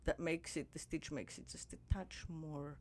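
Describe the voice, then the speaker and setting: A woman podcast host in casual conversation, reflective and musing, drifting softer as she thinks aloud. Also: deep voice, normal speed, a little hiss or hum.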